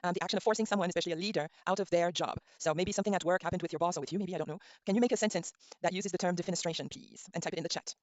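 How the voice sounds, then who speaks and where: A middle-aged woman whose first language is Norwegian teaches a relaxed online language class. She thinks aloud, spells words letter by letter and fills pauses with ums. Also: fairly low pitch, no echo. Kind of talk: casual online lesson, thinking aloud while browsing.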